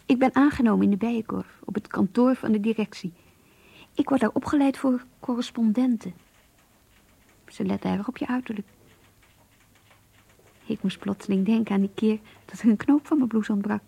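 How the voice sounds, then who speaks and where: An actress in a radio play voicing an earnest, introspective young woman who confides in her diary in an intimate, breathy voice. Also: on the high side, moderate pace, long pauses, mellow, no room sound. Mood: matter-of-fact, rueful. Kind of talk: radio drama diary monologue.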